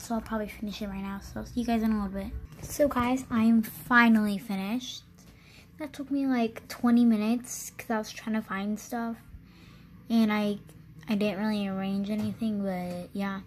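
A woman's voice: a little high, a little mellow. Chatty young girl giving a house tour. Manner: rambling, chatty, many restarts and fillers. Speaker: channel host. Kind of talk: casual game house tour.

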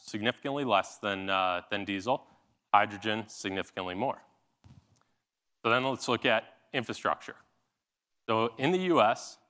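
An American man with a slightly low voice speaks as a company leader giving a keynote. He explains things step by step in a conversational way. He often sets up his points with rhetorical questions. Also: bright, forceful tone. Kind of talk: keynote presentation. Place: large indoor stage at a summit. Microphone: clip-on lapel mic.

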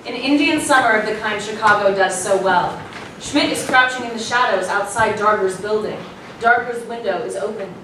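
A woman's voice: medium pitch, moderate pace. A woman giving a scripted narration of stage directions at a play reading. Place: small indoor venue with audience. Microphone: far camera. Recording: very echoey room, distant mic, noisy.

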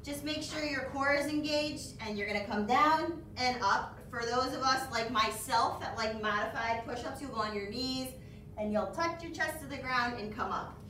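A woman demonstrating exercises, giving clear, practical coaching cues. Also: sits high, moderate pace, sharp tone. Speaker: fitness instructor. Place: large bare indoor room. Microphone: far camera. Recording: echoey room, distant, some noise.

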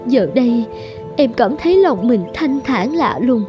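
A woman's voice: fairly high pitch, expressive, measured pace, music in the background. A woman playing an earnest, emotive young nurse and mother, tender and sorrowful, in a cartoon drama. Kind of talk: dramatic cartoon dubbing in Vietnamese.

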